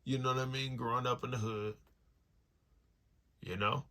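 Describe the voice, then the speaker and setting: A man's voice: medium pitch, fairly even intonation, moderate pace, sharp tone. An American man chatting casually to viewers as he hosts a collection unboxing, rambling along in slangy, conversational phrases. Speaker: music collector and online store owner hosting an unboxing. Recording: noticeable background noise with clicks.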